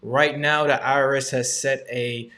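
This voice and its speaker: A man's voice: mid-range voice, fairly even intonation, a little slow, bright, forceful tone. A man from Georgia hosting a live question-and-answer stream, explaining updates in an upbeat, conversational way with frequent ums. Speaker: host.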